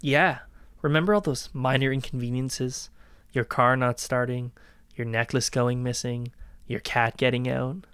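A man's voice: mid-range voice, fairly even intonation, a little slow, fairly bright tone, smooth, dry recording. Audio drama role: obsessive, gloating ex-boyfriend turned killer played by a man, offhand and taunting delivery, dry recording. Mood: gloating, cruel. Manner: chillingly casual, taunting.

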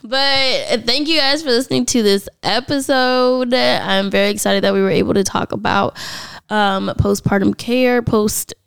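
A young woman co-hosting a podcast, talking in a chatty, swear-happy way with frequent laughs. Her voice is smooth and clear, with a gravelly edge, and the sound is close and dry. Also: on the high side, measured pace, dry recording. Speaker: podcast co-host. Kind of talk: casual podcast chat.

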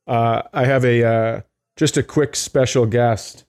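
A man's voice: mid-range voice, steady tone, slow, a little mellow, clear, resonant tone. A middle-aged man, a comedy podcast co-host, telling stories casually in a rambling, jokey way. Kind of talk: casual comic storytelling.

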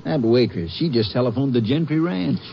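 A man's voice: medium pitch, lively intonation, brisk, gravelly. A man playing a folksy small-town Texas sheriff and loyal sidekick in a 1950s radio western. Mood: puzzled. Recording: muffled, noisy old radio broadcast.

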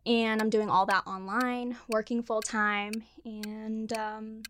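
A young woman from South Africa, a dating game contestant, speaking in a relaxed, unhurried way with many ums. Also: fairly high pitch, background noise. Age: young adult.

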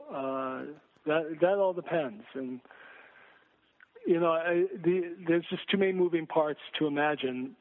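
Male sports journalist guest, measured and hesitant, mid-pitched, heard over a tinny phone line. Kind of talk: sports interview discussion.

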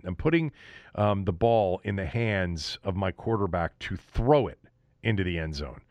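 Male sports radio host, American accent, blunt and emphatic, lively swinging intonation, dry studio-tight sound, opinionated game recap. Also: on the low side, dry recording. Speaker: sports talk show host.

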